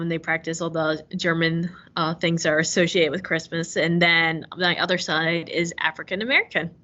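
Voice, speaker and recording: Woman teacher; low, crisp-toned, thoughtful and halting, lo-fi take. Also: bright, forceful tone. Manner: hesitant, thoughtful. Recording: muffled lo-fi recording.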